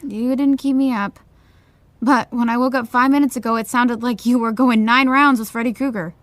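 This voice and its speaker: A woman's voice: fairly high pitch, fairly even intonation, moderate pace, no echo, lightly acted. A woman playing an unflappable, teasing young friend with a wry, casual, soothing manner in an audio comedy-drama.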